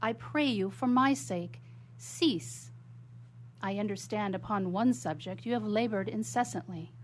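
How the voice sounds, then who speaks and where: An actress playing a tender, worried young sister in a radio melodrama, speaking formally and solicitously. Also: female voice, mid-range voice, a little slow, a little hiss or hum, natural voice. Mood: pleading worry. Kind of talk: melodramatic 19th-century stage acting in radio theatre.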